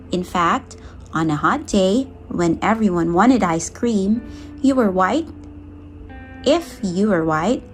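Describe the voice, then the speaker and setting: Female storybook narrator, expressive and unhurried, music underneath. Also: mid-range voice. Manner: expressive, acts out the dialogue. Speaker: storybook reader. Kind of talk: read-aloud children's story narration.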